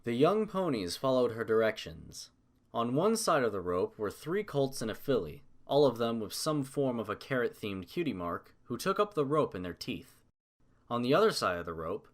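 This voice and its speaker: A man narrating a scripted story as an audiobook reader. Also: mid-range voice.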